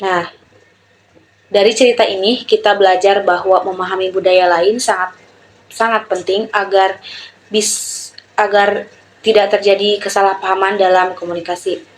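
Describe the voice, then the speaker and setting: A woman's voice: medium pitch, fairly even intonation, moderate pace, pauses often, a little hiss or hum, rough recording. A young woman giving a prepared student presentation, telling and explaining an example. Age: young adult.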